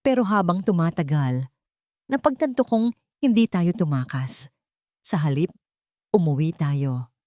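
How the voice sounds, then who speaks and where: Woman reading as a gentle, reflective family man. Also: fairly low pitch, animated, measured pace, pauses often, no room sound, studio quality. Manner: soft-spoken, reflective.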